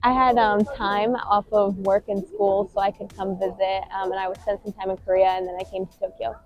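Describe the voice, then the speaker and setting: A woman's voice: medium pitch, little pitch movement, a little fast, soft background music. A woman of twenty from Ohio, a marketer, talking plainly and upbeat in an interview with some filler sounds. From Ohio, USA. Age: 20s. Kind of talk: casual chat. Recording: slightly dull sound.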